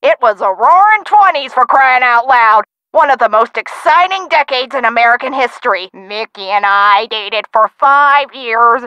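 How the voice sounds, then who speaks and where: A woman playing a feisty, sharp-tongued elderly woman who tells theatrical stories of her youth in a video game. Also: on the high side, animated, moderate pace, hardly any pauses, smooth, dry recording, lo-fi sound. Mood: nostalgic, animated. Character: feisty, sassy elderly woman, nostalgic for the twenties. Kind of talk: video game character acting.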